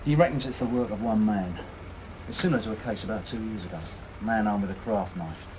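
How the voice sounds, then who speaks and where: A man playing a world-weary, hard-nosed detective inspector, gruff and sardonic, in a TV police drama. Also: lowish voice, quick pace, lightly acted. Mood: measured. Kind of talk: naturalistic TV police drama acting. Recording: slightly distant, noisy TV drama sound.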